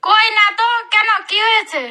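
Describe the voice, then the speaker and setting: A woman's voice: extremely high pitch, moderate pace, bright, forceful tone, heavily acted. A woman playing a vain, airy young wife in comedy skit dialogue. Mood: breezy vanity. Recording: very noisy, clicky short-video audio.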